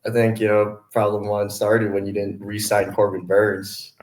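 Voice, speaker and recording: A college athlete, a man in his twenties, answers interview questions casually. His voice is very low and he comes across as easygoing and mellow. The sound is roomy and echoing. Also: flat delivery, measured pace. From Hawaii, USA. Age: 20s. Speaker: college baseball player, interview guest.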